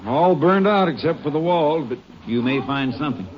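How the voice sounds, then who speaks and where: An actor playing a folksy, brisk small-town sheriff in an old radio western. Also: male voice, a little high, animated, lightly acted. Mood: businesslike. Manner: brisk, calling out to onlookers. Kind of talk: 1940s-50s radio western acting. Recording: muffled old radio recording, voices behind.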